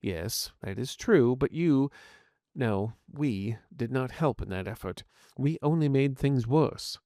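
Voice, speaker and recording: A man voicing the calm, reasoning, remorseful inner voice of a man's psyche in an audio story, in a measured, explanatory way. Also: mid-range voice, no room sound, clean studio sound. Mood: reluctant, explanatory. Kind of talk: scripted audio fiction dialogue.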